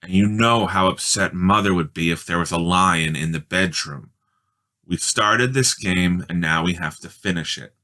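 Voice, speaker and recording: A man voicing a bossy, determined young girl, an older sister in a storybook, with emphatic, deliberate delivery. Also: low voice, sharp tone. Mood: insistent.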